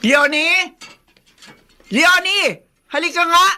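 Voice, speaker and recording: A woman's voice: very high-pitched, slow, faint music behind, full character voice. A woman playing a domineering middle-aged mother-in-law with a Filipino accent, nagging and accusatory, in a radio drama. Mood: scolding, indignant. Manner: nagging, accusatory, sharp-tongued.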